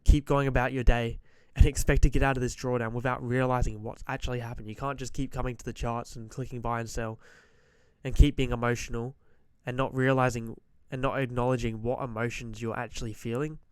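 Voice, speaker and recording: Slightly hoarse man giving blunt trading advice. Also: mid-range voice, flat delivery, fairly quick, dry recording. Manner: direct, emphatic, repeats key points; frequent throat clearing. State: slightly hoarse from being sick. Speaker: forex trader giving advice. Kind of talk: motivational trading-psychology talk to camera.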